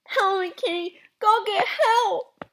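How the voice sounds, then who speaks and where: A woman's voice: extremely high pitch, takes it slowly, a bit bright, a little hiss or hum, pitched above the performer's own voice, full character voice. A woman voicing a panicked boy in a storybook, mumbling as if his mouth is stuck and pleading tearfully. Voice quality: mumbling, mouth-obstructed speech. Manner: pleading, crying. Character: panicked older boy with lips frozen stuck.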